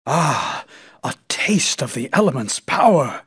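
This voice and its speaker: A man's voice: mid-range voice, wildly swooping pitch, slow, a bit bright, husky, no echo, clean studio sound, full character voice. A man playing a haughty, scholarly sorcerer in a video game, theatrical and declaiming. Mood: grand invocation. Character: haughty scholarly male sorcerer, proud and power hungry. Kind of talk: video game villain acting.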